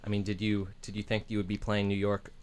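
A male interviewer with a fairly low voice asks his questions haltingly. He repeats words and restarts his sentences often. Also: completely flat delivery, crowd noise.

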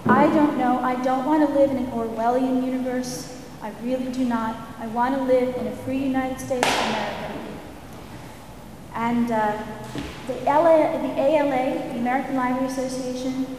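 A librarian, a woman with a naturally soft voice pushing herself to speak louder, gives an earnest, firm public comment at a hearing. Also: high-pitched, steady tone, normal speed. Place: large public meeting hall. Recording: echoey hall with room noise.

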